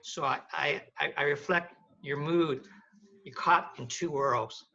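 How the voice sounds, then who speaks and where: A man's voice: on the high side, unhurried, gravelly, bright, forceful tone. A man from the United States earnestly praising an artist, stumbling over repeated words. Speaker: audience member. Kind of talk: casual comment. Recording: dull lo-fi sound.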